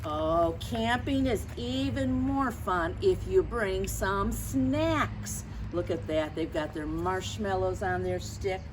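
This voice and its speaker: Woman, children's story time reader, teacherly, chatty asides, questions to listeners, medium pitch, clear outdoor background noise. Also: slow pace, hardly any pauses. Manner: teacherly, addresses children directly with questions and reminders. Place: outdoors near lawns being mowed. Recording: outdoor noise, mower and birds.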